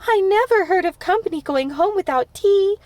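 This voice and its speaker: Dramatic chatterbox girl, voiced by a woman reader, high and lively, storybook read-aloud. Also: moderate pace, no room sound. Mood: pleading. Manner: breathless, dramatic, gushing. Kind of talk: audiobook character voice.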